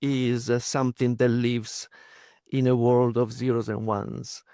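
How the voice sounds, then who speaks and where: A man's voice: medium pitch, flat delivery, measured pace, dark tone, dry recording, studio quality. A man in his thirties with an Italian accent, talking as a podcast guest with many fillers and restarts. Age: 30s. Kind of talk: casual interview talk.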